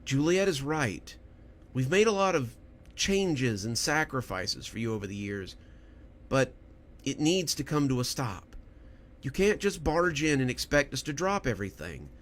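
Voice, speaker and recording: A man voicing a firm, weary female doctor who speaks in measured reproof in a horror audio story. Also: mid-range voice, sing-song, a bit bright. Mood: firm, reproving.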